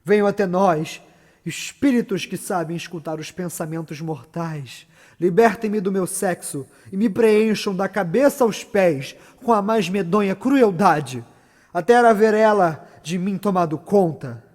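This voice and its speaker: A scheming, ruthless noblewoman of Shakespearean theatre acted out by a man. The delivery is solemn and declamatory, pitched on the high side for a male voice. A long reverb surrounds every phrase. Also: unhurried. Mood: fierce, invoking. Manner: solemn, declamatory, swinging from hushed to loud. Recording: long reverberant hall echo.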